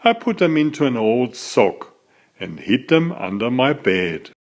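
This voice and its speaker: Slow, very animated male storybook reader. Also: mid-range voice. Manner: deliberate, dramatic storytelling. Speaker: children's book author reading aloud. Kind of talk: expressive scripted storybook narration.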